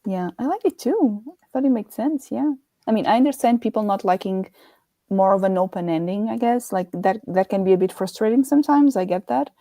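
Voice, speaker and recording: A woman chatting casually as co-host of a book discussion, agreeable and quick to giggle. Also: mid-range voice, animated, dark tone, no echo. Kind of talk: casual chat.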